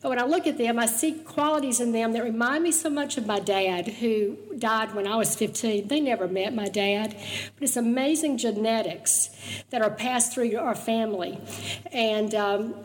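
An older woman, from Mississippi, telling her life story in a chatty, storytelling way, her voice slightly raspy. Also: a little high, normal speed, big-room sound. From Mississippi, US South. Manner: chatty, storytelling, laughs easily. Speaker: guest speaker sharing her testimony. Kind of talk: personal testimony talk.

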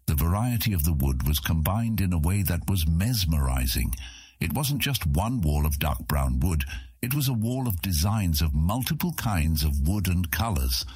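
A man's voice: sits low, breathy edge, no echo, studio quality. A man reading a fantasy audiobook as its scripted narrator.

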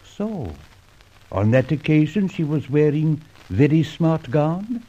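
A man acting a courteous, patient older Chinese gentleman in an old radio drama, with formal, deliberate and ornate phrasing. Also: on the high side, sing-song, exaggerated voice. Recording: muffled old radio recording.

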